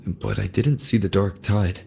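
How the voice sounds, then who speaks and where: A thoughtful young video game hero. The voice sits a little low and stays calm, steady and sincere. Light ambient sound is audible behind it. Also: male voice, measured pace, creaky, a little hiss or hum. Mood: earnest, encouraging. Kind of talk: video game character acting.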